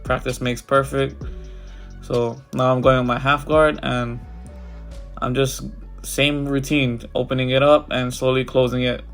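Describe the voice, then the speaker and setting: A man's voice: medium pitch, little pitch movement, a little slow, a bit bright, soft background music. A barber with a congested, stuffy voice, talking casually over his haircut tutorial. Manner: casual, loose, filler-heavy walkthrough. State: congested from a cold.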